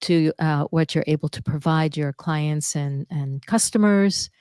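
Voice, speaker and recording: A woman hosting an interview, speaking softly and thoughtfully. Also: deep voice, unhurried, smooth, no echo, clean studio sound. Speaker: podcast host. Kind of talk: conversational interview.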